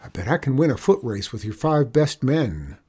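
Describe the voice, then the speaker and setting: A man's voice: medium pitch, very animated, moderate pace, mellow, husky. A man voicing a cocky small boy from a Western story, bold and challenging in a folksy way. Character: plucky, cocky small boy in the Old West.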